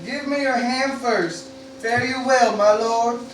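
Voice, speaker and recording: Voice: mid-range voice, slow pace, fairly bright tone, a little hiss or hum. An actor playing a loyal, deferential servant soldier in a Shakespearean radio play. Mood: loyal, sombre. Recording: very echoey room, distant mic.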